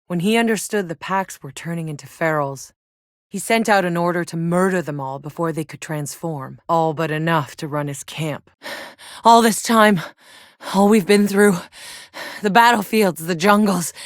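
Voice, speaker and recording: A hardened woman soldier from a sci-fi video game. Her voice sits on the low side, with a blunt, world-weary, sardonic edge and a sweary directness. The sound is dry and studio-clean, with no room around it. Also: no room sound. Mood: grim, resolute, then bitter, anguished. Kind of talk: scripted video game character dialogue.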